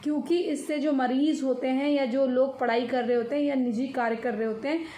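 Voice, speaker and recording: A woman with an Indian accent giving informal news commentary, explaining in a halting, repetitive way and mixing in English words. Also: high voice, fairly even intonation, mellow. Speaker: commentator on social and religious news. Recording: echoey room with faint noise.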